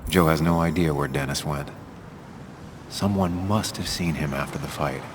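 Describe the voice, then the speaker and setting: A man's voice: a bass voice, steady tone, normal speed, noisy background. An actor playing a guarded, dry-witted American journalist in his thirties, understated, in naturalistic video game style. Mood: brooding.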